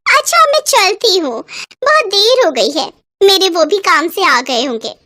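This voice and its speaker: Woman doing a high, sly, nosy neighbour. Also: very animated, unhurried, bright, forceful tone, dry recording, heavily acted. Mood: feigned casualness. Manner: scheming, exclaiming.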